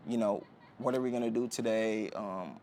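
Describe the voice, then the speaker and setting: A man's voice: lowish voice, normal speed, a little hiss or hum. Jamaican-raised young man, rambling easy talk. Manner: relaxed, rambling with many fillers and restarts. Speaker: guest, Olympic sprinter. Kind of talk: casual interview talk. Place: indoor podcast setting.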